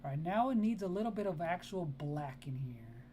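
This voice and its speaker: A woman's voice: lowish voice, big pitch swings, moderate pace, mellow, a little hiss or hum. A woman whose first language is Spanish, chatting casually with viewers on a live art stream while she draws, greeting them by name and laughing often.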